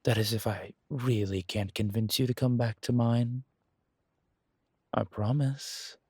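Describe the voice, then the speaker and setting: A man voicing a smooth, seductive gentleman stranger in a romantic audio drama, with a breathy, drawling, flirtatious and formal way of talking. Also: medium pitch, fairly even intonation, a little slow, dry recording, studio quality. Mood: teasing, flirtatious. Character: smooth, seductive, well-dressed male stranger, courtly and teasing.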